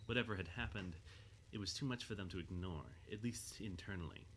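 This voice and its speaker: A man's voice: low voice, fairly quick, slight background noise. A man narrating a scripted audio drama as its storyteller.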